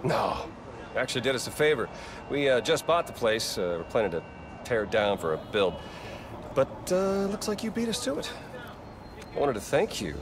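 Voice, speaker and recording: An actor playing a slick, flattering corporate research executive with an American accent, glib and chummy, in a video game cutscene. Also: male voice, mid-range voice, background noise.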